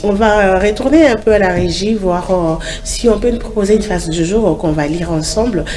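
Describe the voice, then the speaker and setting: A woman's voice: mid-range voice, moderate pace, a little mellow, slight background noise. A woman with a cold hosting a morning TV show, chatty and upbeat with lots of fillers. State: has a cold. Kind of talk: casual studio chat. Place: TV studio.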